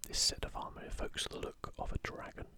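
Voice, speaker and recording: An ASMR host whispering a commentary in a halting, musing, unscripted way. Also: sharp tone.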